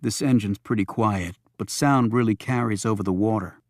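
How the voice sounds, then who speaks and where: A man's voice: lowish voice, normal speed, dry recording, studio quality, lightly acted. A man voicing a cautious, matter-of-fact criminal in his thirties in a thriller audiobook. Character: stocky, businesslike criminal in his early thirties.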